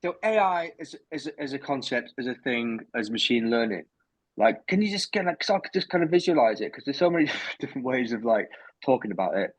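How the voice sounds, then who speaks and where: A man hosting a casual podcast, asking halting questions with many restarts and laughs. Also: mid-range voice, sing-song, no echo. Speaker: podcast host. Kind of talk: casual chat. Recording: dry, dull lo-fi sound.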